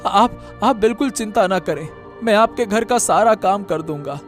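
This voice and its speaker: Guy doing a desperate young villager in a cartoon, high voice, pleading and repeating himself, bit of music behind. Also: expressive, normal speed. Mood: humble, respectful. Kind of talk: Hindi cartoon moral-story acting.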